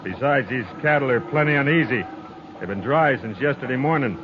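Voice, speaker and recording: A man's voice: medium pitch, animated, fairly quick, noisy background, natural voice. Folksy, stubborn trail boss, acted by a man, muffled radio. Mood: wary, firm. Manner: plain-spoken, unbudging. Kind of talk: 1950s radio western acting. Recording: muffled old radio, loud outdoor effects.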